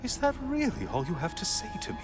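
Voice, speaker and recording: A man's voice: high-pitched, sing-song, fast. An actor playing a sardonic male sun god, a severed talking head, in a video game, speaking with mocking, theatrical sarcasm. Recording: game audio with loud music behind.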